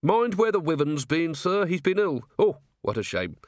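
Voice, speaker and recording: High, cackling old yard keeper. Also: male voice, sing-song, normal speed, dry recording, clean studio sound. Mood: cheerfully folksy. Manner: folksy, rambling, archaic dialect. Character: cackling old rustic male yard keeper, chatty, grumbling.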